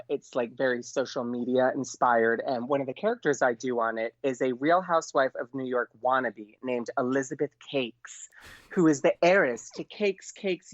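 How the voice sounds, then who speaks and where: A man's voice: medium pitch, dry recording, dull audio. A man speaking as a performer guest in a casual interview, telling anecdotes in a chatty way.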